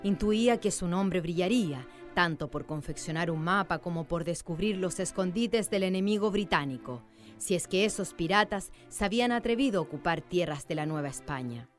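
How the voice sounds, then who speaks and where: A woman with a low voice narrates a radio drama. Her delivery is measured and literary, like a storyteller's, and music plays behind her. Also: lively intonation.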